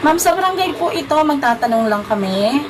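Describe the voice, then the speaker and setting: A woman's voice: sits high, expressive, brisk, noisy background. A woman with a Filipino accent chatting casually as a prank call vlogger. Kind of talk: casual vlog chat and phone calls. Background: loud music.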